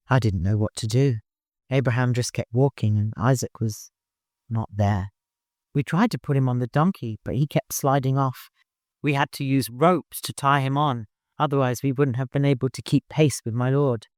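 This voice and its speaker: A man's voice: medium pitch, clear, resonant tone, no echo, studio quality, lightly acted. A man voicing a reserved, plain-spoken caravan guide in an audiobook, earnest and troubled. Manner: blunt, halting, earnest.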